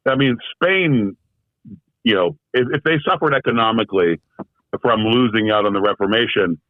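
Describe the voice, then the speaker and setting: Low-voiced man on a tinny call line. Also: expressive, normal speed, no echo. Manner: thoughtful, rambling, frequent fillers and restarts. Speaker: guest, translator. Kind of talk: casual conversational interview. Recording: tinny phone-like remote call audio.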